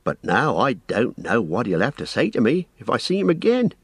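A man voicing a fussy, forgetful old innkeeper who rambles in a flustered, drawn-out way. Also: mid-range voice, huge pitch swings, slightly rough, dry recording, voice pushed up. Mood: flustered rambling.

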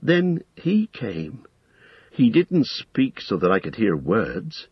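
A man voicing a frightened, pleading young male hobbit in a storybook reading, with a shrill, stammering voice. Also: wildly swooping pitch, slow pace, breathy edge, no echo, muffled recording, full character voice. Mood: hesitant, frightened. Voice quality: shrill, strained. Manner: stammering, hesitant.

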